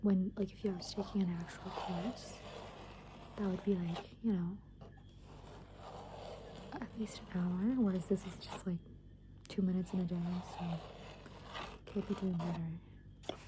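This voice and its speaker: A young adult woman rambles in a breathy ASMR whisper, hesitating and leaning on filler words as she goes. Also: lowish voice, fairly even intonation, measured pace, pauses often. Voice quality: breathy, mostly unvoiced whisper. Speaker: ASMR video creator. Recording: clear background noise.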